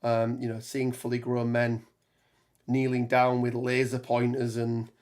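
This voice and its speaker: A man with a hoarse voice from a cold, chatting casually on a live stream while building terrain, with lots of ums and restarts. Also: medium pitch, completely flat delivery, unhurried, a little mellow. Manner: rambling, many ums and restarts, reads chat aloud. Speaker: tabletop gaming streamer.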